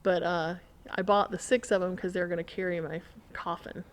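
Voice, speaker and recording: A woman's voice: on the low side, fairly even intonation, a little fast. An American woman chatting casually on a podcast in a dry, offhand way. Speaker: podcast guest or co-host. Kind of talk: casual chat.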